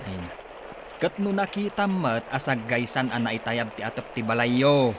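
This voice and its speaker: An actor playing a sensible, admonishing older brother in a Filipino village radio drama. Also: male voice, medium pitch, animated, moderate pace, noisy background, lightly acted. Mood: welcoming.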